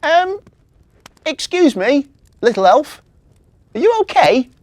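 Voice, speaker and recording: A woman's voice: high-pitched, wildly swooping pitch, measured pace, darkish tone, no echo, pitched above the performer's own voice, full character voice. A woman voicing an eager, caring little girl with an emphatic, urgent manner in a children's story. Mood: concerned.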